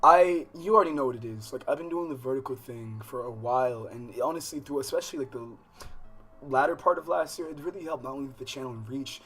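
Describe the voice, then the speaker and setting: A man chatting casually as a livestream host, animated, with slangy, profane speech and rambling unfinished thoughts. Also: mid-range voice, fast talker, faint music behind. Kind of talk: casual livestream chat, reacting and addressing viewers.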